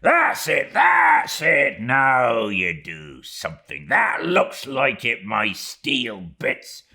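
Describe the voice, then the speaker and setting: A man's voice: mid-range voice, vocal fry, sharp tone, voice pushed up, full character voice. A man voicing a jolly, mock-furious whaling boat officer for an audiobook, swinging between roars and coaxing as he exhorts his crew. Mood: gleeful urging. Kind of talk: audiobook character acting.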